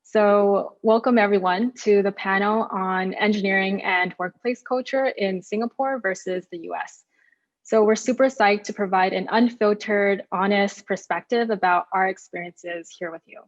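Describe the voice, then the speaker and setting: A woman's voice: mid-range voice, fairly even intonation, moderate pace. An upbeat woman moderates an online panel in an enthusiastic, friendly tone. Her voice comes through a video call with slightly dull, lo-fi audio.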